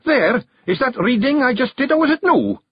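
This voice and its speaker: A man's voice: expressive, normal speed, dry recording, muffled recording. A man doing a fierce little fairy chief with a Scottish brogue. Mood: boastful. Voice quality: gruff high brogue.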